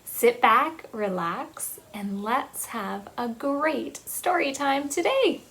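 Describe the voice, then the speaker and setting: Woman, 30s, children's storytime host; high voice, slow sing-song delivery, webcam in a small playroom. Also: expressive, fairly bright tone. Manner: sing-song, teacherly, lots of hand gestures. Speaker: children's librarian. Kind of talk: children's storytime with songs and signing. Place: small playroom with shelves, indoors.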